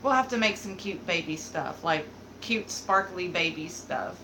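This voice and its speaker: A woman's voice: fairly low pitch, lively intonation, unhurried, background noise. Chatty woman with a light Southern accent. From American South. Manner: chatty, rambling, lots of "like". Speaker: beauty vlogger hosting a live stream. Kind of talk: casual live-stream chat reading comments.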